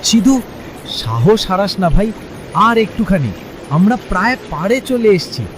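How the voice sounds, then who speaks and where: A man voicing a loyal village fisherman, emotional and exclamatory, in an animated moral story. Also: high voice, unhurried, a little hiss or hum, poor audio. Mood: worried. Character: loyal, anxious village fisherman and devoted friend.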